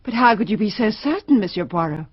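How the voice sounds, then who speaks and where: A woman's voice: mid-range voice, animated, fast, breathy edge, no echo. An actress playing a baffled, wondering woman in a radio drama, exclaiming her questions. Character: eager, baffled woman admirer of a detective. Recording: dull, muffled radio drama recording.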